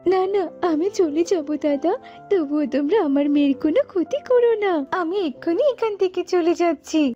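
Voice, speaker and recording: A woman voicing a poor, gentle mother bird in a cartoon, plaintive and pleading, with music behind. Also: very high voice, brisk. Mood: desperate pleading. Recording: slightly dull audio with music behind.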